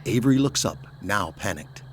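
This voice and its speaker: Low-voiced man, animated dramatic narrator. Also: moderate pace, slight background noise.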